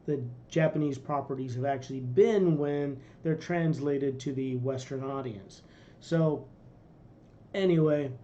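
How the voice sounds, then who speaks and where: A man's voice: a little high, warm tone, dull audio. A middle-aged man casually commenting on a game trailer in a small room, rambling with fillers and restarts. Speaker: reaction video host.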